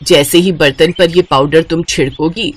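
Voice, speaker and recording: A woman's voice: low voice, steady tone, normal speed. A woman voicing a kindly middle-aged female magician in a story, instructing in a motherly way. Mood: earnest, instructive.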